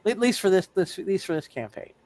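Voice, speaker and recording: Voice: mid-range voice, animated, brisk, darkish tone, no echo. A game master narrating a tabletop roleplay casually, often repeating words and phrases while working out what happens.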